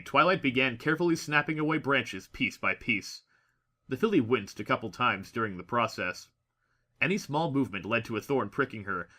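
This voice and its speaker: Guy doing audiobook narration, really bright forceful voice, medium pitch, expressive storytelling. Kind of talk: scripted dramatic story narration.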